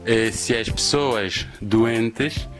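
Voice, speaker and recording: A man's voice: medium pitch, extremely slow, fairly bright tone. A man giving a spontaneous explanation as an interviewee. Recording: faint music behind.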